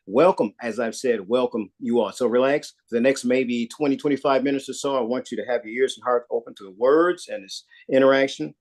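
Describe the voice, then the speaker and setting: A man hosting a community live show, relaxed and rambling as he interviews a guest. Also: medium pitch, a little fast, few pauses. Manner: relaxed, rambling, with many fillers.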